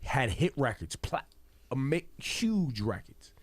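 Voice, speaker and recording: A man's voice: mid-range voice, sing-song, slow, slightly rough, no echo. A man with a mid-range voice hosts a radio interview, loose and enthusiastic with plenty of banter. The sound is close and dry. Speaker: radio show host.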